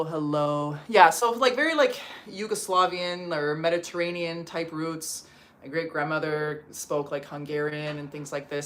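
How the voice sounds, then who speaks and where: Chatty Canadian-raised woman, lowish voice. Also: a little hiss or hum. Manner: chatty, filler-heavy with frequent "like". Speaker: livestream host. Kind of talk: casual livestream chat answering viewer comments. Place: home in Portugal.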